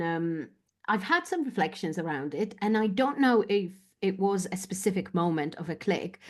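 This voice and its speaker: A woman from Poland whose first language is Polish, a guest talking reflectively in an interview and often pausing to find her words. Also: medium pitch, darkish tone. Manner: thoughtful, searching for words, many fillers. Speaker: guest, business coach.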